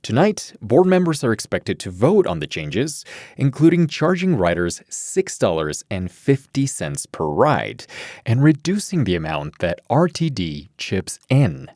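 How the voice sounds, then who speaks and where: A man's voice: mid-range voice, very animated, unhurried, darkish tone, dry recording. An American man hosting and reporting in a scripted public radio news style, close on a studio microphone. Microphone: close studio microphone, dry.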